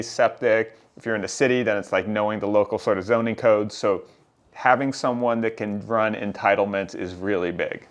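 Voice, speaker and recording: Middle-aged man interviewing a builder, casual. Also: on the low side. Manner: conversational, summarizes and checks points. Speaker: channel host interviewing a builder. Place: office meeting room with high ceiling.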